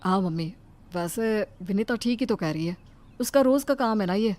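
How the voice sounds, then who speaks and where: A woman voicing a mild, caring young husband who asks questions with gentle concern in a cartoon story. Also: medium pitch, lively intonation, normal speed, dry recording, voice pushed down, natural voice. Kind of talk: cartoon moral story voice acting.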